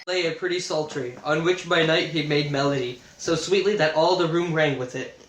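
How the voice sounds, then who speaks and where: A young man reading an old tale aloud in a plain, slightly awkward amateur way, with deadpan asides. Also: fairly high pitch, fairly quick, low-quality recording. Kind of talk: amateur comic read-aloud of a classic tale.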